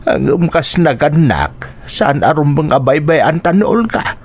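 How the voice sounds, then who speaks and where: A man's voice: a little high, expressive, unhurried, slightly rough, exaggerated voice. An actor with an Ilocano Filipino accent playing a stern, burdened older father in a radio drama. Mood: stern, troubled.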